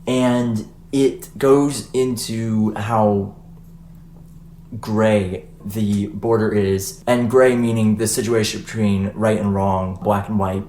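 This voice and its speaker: A man's voice: on the low side, steady tone, takes it slowly, warm tone. A young man hosting a casual podcast, chatting loosely with fillers and restarts. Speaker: podcast host. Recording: echoey room, faint noise.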